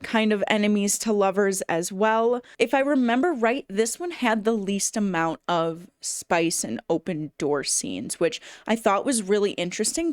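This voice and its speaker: A woman's voice: mid-range voice, clear, resonant tone, no room sound, studio quality. A woman giving a casual, enthusiastic book review to camera, speaking conversationally as a bookseller would. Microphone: phone camera.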